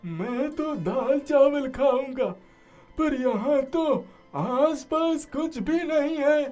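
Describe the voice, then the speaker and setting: A man acts out a humble homeless villager in a cartoon tale. The voice is very high and slow, with music behind. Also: lively intonation, a little mellow, exaggerated voice. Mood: worried. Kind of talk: cartoon moral-story acting.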